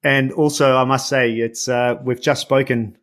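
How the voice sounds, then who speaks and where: A man's voice: mid-range voice, fairly even intonation, fairly bright tone. Bosnian-born man hosting a podcast. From Bosnia and Herzegovina. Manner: measured, hesitant with many fillers when unscripted. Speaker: podcast host. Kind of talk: scripted guest introduction and interview.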